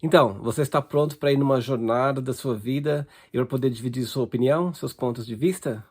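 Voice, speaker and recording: A man's voice: medium pitch, a little fast, a little mellow, breathy edge. A man hosting an interview show, welcoming the guest and asking short, upbeat questions over a video call. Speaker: host.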